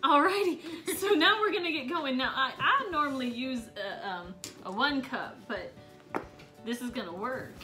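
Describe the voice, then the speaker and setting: A woman's voice: high-pitched, normal speed, bright, forceful tone, soft background music. A woman in her thirties chatting casually and theatrically as she hosts a homemade craft demonstration, with folksy, silly exclamations. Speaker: craft video host, mother. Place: small room at home. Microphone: camera or phone a short way off.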